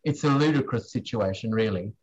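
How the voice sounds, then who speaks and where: A man giving unscripted, hesitant answers in a question-and-answer session, halting as he searches for words. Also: lowish voice, darkish tone, lo-fi sound, a little hiss or hum. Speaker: guest speaker, screen industry professional answering questions.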